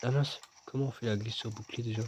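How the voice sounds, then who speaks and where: A man's voice: mid-range voice, steady tone, fast, a little mellow, a little hiss or hum. A man casually commenting as he plays a video game, joking and chatting with himself and his viewers. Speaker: gaming video host. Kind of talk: casual let's-play commentary.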